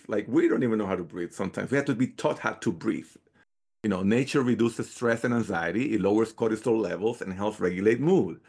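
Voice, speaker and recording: A man's voice: fairly low pitch, gravelly, husky. A man from the Dominican Republic answering interview questions conversationally and reflectively, often checking in with "right" and "you know". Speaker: founder of an outdoor youth program, interview guest.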